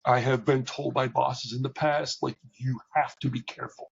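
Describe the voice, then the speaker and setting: A middle-aged man with a big, booming voice that comes through husky and a little dull. He talks candidly and haltingly as an interview guest, restarting his sentences often. His pitch sits at an ordinary level for a man. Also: little pitch movement. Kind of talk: reflective conversational interview.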